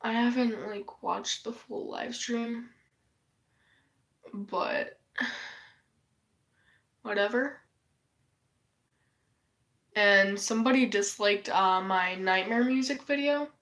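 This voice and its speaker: Slow, sighing woman losing her voice. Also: mid-range voice, a bit bright. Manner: sighing, rambling, swears casually. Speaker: vlogger. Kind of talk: rambling casual vlog chat.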